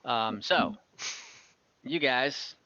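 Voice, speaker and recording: A man acting as game master in a casual online tabletop game, explaining rules in an easygoing, halting way. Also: medium pitch, pushed and bright, muffled recording.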